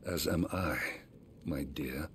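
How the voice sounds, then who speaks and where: Very deep-voiced, weary archduke. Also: male voice, takes it slowly, slight background noise, exaggerated voice. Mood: weary, bitter. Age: middle-aged. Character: weary, firm middle-aged archduke and father. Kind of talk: video game cutscene drama acting.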